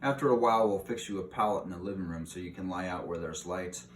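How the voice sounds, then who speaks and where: A man voicing a caring, brisk mother mouse in a storybook reading. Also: low-pitched, close to an everyday voice. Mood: gently worried. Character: motherly female mouse, cheerful then anxious and firm. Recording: roomy echo, clear background hiss.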